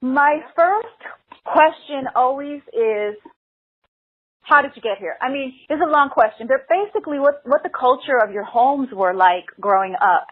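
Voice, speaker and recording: A woman's voice: high voice, lively intonation, moderate pace. A woman hosting a podcast. She reads a guest introduction, then asks playful questions and draws some of them out. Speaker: podcast host. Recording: dry, phone-like narrow sound.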